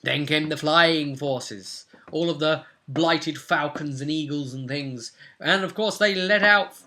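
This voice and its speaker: Young guy game master recapping a session at home, bright highish voice, kinda dramatic storyteller. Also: slow. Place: small furnished room at home, indoors.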